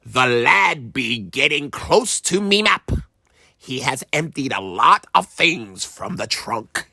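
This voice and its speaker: A gruff old pirate captain's ghost spins a children's storybook tale. The voice is gravelly and swings widely in pitch, unhurried and nostalgic, thick with piratical arrs. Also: big pitch swings, sharp tone, no room sound, studio quality, exaggerated voice. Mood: pleased, musing. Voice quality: gravelly, growling.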